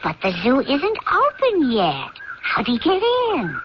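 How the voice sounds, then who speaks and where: A woman's voice: sits high, wildly swooping pitch, takes it slowly, exaggerated voice. An actress playing a chatty, naive, curious female ape in a radio drama. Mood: puzzled. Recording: slightly noisy muffled radio tape.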